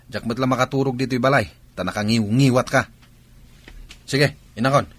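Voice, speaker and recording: A man playing a lazy, scheming married younger brother in a Filipino radio comedy-drama, with a husky voice and a Filipino accent. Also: mid-range voice, fairly even intonation, normal speed, a bit bright, lightly acted. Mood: grumbling.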